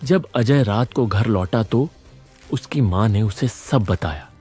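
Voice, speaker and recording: A man's voice: on the low side, takes it slowly, dark tone, husky, faint music behind. A man reading scripted narration for a moral story as its narrator.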